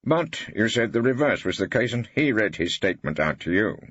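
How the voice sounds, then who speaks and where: A man acts a shrewd old male barrister from an audiobook, low and husky. He presses his points with pompous, rhetorical relish and expressive swoops. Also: fairly quick, no room sound, highs cut off, heavily acted. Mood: needling, rhetorical. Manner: rhetorical, insistent, pompous flourishes.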